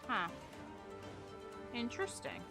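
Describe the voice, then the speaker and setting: A woman's voice: slow, loud music behind the voice. A woman giving casual gameplay commentary, blunt and sweary as she talks to the game. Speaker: game streamer.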